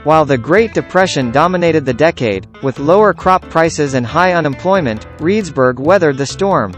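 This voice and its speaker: A man's voice: fairly high pitch, expressive, normal speed. Male narrator for a scripted history intro. Upper-register voice, light guitar music underneath. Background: light plucked guitar music.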